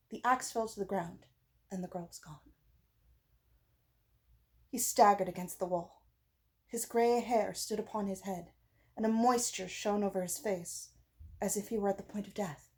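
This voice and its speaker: A woman reading a gothic story aloud as dramatic narration, with pauses and phrases that drop to a hush. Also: mid-range voice. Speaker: audiobook reader.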